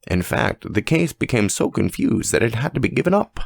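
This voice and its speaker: A man voicing a dignified, rational judge telling a story in a grave, measured and formal manner for an audiobook. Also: mid-range voice, big pitch swings, gravelly, no echo, clean studio sound, pitched above the performer's own voice. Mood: grave, assured. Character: dignified, weighty, rational male French judge.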